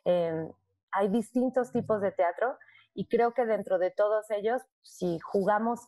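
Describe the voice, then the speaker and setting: A woman who works in theatre as director and actress, answering interview questions in a reflective way, pausing often and repeating words as she thinks. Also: medium pitch, darkish tone, no echo. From born in Argentina, raised in Mexico.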